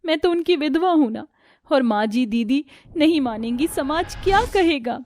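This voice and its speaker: Woman voicing a meek, plaintive young bride, high voice. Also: lively intonation, moderate pace, a little mellow. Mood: distressed. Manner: plaintive, timid. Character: meek, mistreated young daughter-in-law, hurt and pleading.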